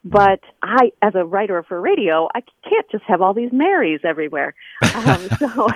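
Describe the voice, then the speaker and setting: A woman's voice: medium pitch, sing-song, normal speed, no echo. A woman guest explaining her scholarly work informally and conversationally in an interview over a remote call. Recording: thin phone-like line.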